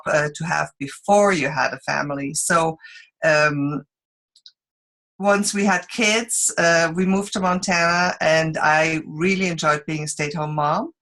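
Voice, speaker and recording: A middle-aged woman from Switzerland with a Swiss accent, telling her story as a guest speaker in a relaxed, unscripted way with halting restarts. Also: fairly low pitch, low-quality recording. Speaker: guest speaker, sales consultant. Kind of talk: informal presentation on a group call.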